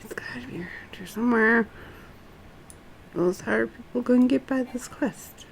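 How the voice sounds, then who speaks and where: A woman's voice: a little high, normal speed, vocal fry, a little hiss or hum. A woman streaming a video game, muttering to herself in casual live commentary and often trailing off. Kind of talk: casual live gameplay commentary, thinking aloud.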